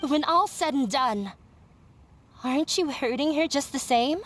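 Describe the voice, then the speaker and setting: A woman's voice: high-pitched, a little slow, slight background noise. A woman voicing a gloomy, blunt young woman who speaks wearily and without hope in a game cutscene. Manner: flat, weary, bluntly pessimistic.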